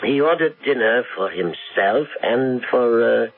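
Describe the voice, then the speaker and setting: Gravelly, slow, troubled manservant. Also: male voice, medium pitch, lively intonation, phone-quality sound. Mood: troubled, hesitant. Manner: halting, apologetic, formal. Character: deferential, troubled male household servant. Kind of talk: old-time radio drama acting. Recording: band-limited old radio recording with music.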